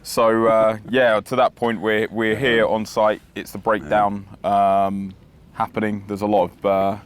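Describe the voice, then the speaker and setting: A man from the UK co-hosting a casual podcast, easygoing, his voice hoarse from shouting. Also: fairly low pitch, flat delivery, measured pace. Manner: easygoing, brief agreeing remarks. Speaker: podcast co-host, security worker. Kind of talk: casual chat. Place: outdoors on a festival site during breakdown.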